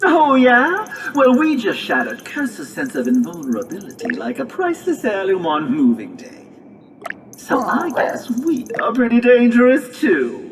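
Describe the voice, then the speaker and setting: A woman voicing a theatrical, brash and excitable hero creature in a video game, with dramatic, boastful, wordy delivery. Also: fairly high pitch, measured pace, distant mic, a little hiss or hum, rough recording. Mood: cocky. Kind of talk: scripted cartoonish game cutscene acting.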